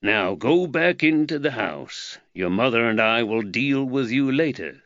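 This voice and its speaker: A man voicing a calm, soft-spoken older foreign shopkeeper with clear diction. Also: mid-range voice, unhurried, dry recording, highs cut off. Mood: quiet.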